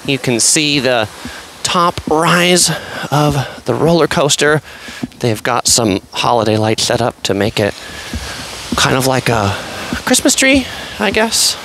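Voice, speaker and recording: A man's voice: mid-range voice, very animated, takes it slowly, sharp tone, slight background noise, poor audio. A man casually narrating an outdoor walking tour on a pier, chatty and rambling, full of fillers and asides. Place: outdoors on an empty seaside pier.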